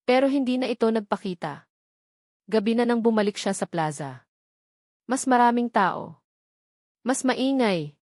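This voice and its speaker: A woman's voice: fairly high pitch, a little mellow, no room sound, clean studio sound. A woman reading a scripted romance story as a dramatic narrator.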